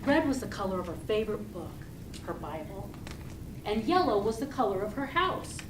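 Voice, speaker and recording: A woman giving a rehearsed storytelling speech to an audience, speaking deliberately and expressively with pauses. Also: mid-range voice, lively intonation. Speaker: club speaker giving a tall tale speech. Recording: roomy, distant mic with loud noise.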